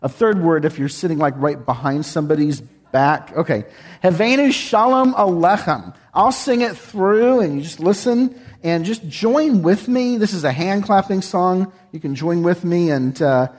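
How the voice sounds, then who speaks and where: Husky man preaching folksy. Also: high-pitched, normal speed. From Texas. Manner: folksy, joking, sings with congregation. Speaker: guest speaker. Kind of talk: sermon. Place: church sanctuary.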